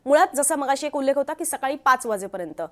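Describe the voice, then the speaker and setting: A woman with a distinctly high voice and a Maharashtrian accent presents the news. She speaks as an explainer, stressing and repeating the key points as she goes. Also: expressive, fast.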